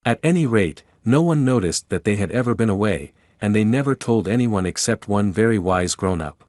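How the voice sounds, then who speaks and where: Low-voiced man narrating a story. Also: lively intonation, moderate pace, a little mellow, no echo, studio quality.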